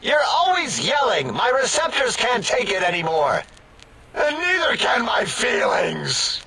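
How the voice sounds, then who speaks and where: An actor playing a touchy, fussy, whining robotic brain scientist in a sci-fi comedy game. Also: male voice, extremely high pitch, wildly swooping pitch, a little slow, vocal fry, sharp tone, breathy edge, raised voice, exaggerated voice. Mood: hurt, whining. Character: whiny, touchy, nerdy robotic brain scientist, easily wounded.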